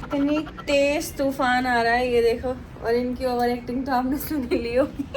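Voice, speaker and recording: A young woman with a slightly high voice talks homely and chatty on a vlog, teasing her husband now and then. She is recorded on a handheld camera inside an apartment, with faint wind and storm noise underneath. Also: fairly even intonation, moderate pace. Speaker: vlog host. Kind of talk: casual home vlog chat.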